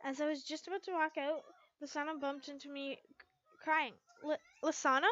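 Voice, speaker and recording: A woman giving an expressive amateur narration of fan fiction, stumbling over words and rereading as she goes. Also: high voice, fairly quick, lo-fi sound.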